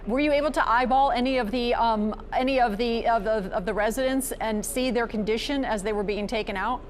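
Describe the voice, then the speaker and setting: A woman news anchor questioning a reporter live, speaking deliberately and probingly while sometimes searching for words. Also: fairly high pitch, slight background noise.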